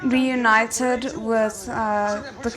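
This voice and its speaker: A woman's voice: fairly high pitch, little pitch movement, drawn-out words, crowd noise. A woman hosting a reaction video, commenting casually and thinking aloud in a hesitant way.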